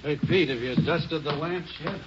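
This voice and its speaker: A man's voice: fairly high pitch, normal speed, heavy background noise, lightly acted. A man playing a businesslike, clipped police crime lab lieutenant in a radio drama. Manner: clipped, directing. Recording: dull old-time radio recording.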